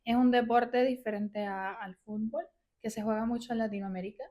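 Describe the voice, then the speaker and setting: A woman's voice: fairly high pitch, fairly even intonation, normal speed, warm tone. A woman in her thirties from Venezuela with a Venezuelan accent, telling stories casually as a podcast guest. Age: 30s. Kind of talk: casual conversational storytelling.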